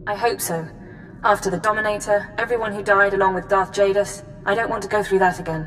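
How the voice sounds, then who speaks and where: An actress playing a serious, worried intelligence officer giving an urgent briefing over a comm. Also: female voice, mid-range voice, steady tone, a little fast, fairly bright tone, slight background noise, poor audio.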